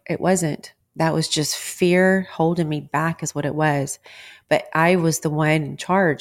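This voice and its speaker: A woman from Texas with a Texan accent, hosting a podcast and giving a blunt, outspoken motivational talk, swearing freely. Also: deep voice. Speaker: podcast host. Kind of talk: motivational monologue.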